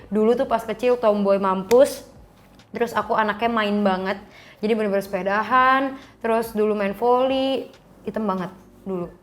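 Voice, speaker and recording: A woman's voice: mid-range voice, normal speed. A young woman from Java in her twenties, a singer guesting on a casual podcast, chatty and laughing often.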